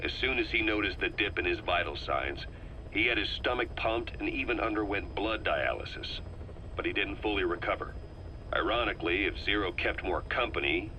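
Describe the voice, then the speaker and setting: An actor playing a shrewd male intelligence operative, delivering a measured, earnest briefing in a video game tape. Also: sits low, sharp tone, a little hiss or hum, rough recording.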